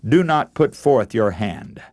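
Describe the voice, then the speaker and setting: A man preacher voicing God as a calm, solemn, commanding ruler of heaven, speaking in a stately, deliberate way. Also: lowish voice, no room sound, studio quality.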